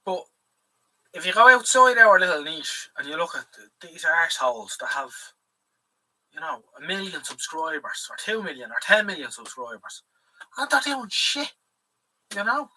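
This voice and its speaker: A man's voice: on the high side, very animated, normal speed, bright, forceful tone. An Irish man hosting a live stream, chatting in a rambling, casual way and swearing freely. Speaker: livestream host. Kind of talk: casual livestream chat. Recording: voice a bit far from the mic.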